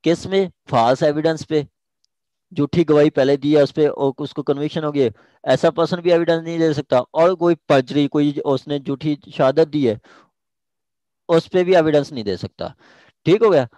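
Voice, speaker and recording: A man's voice: fairly high pitch, moderate pace, dark tone, dry recording, rough recording. A man with a Pakistani accent explaining a legal text as an informal lecturer, repeating key phrases and switching into English. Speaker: law lecturer.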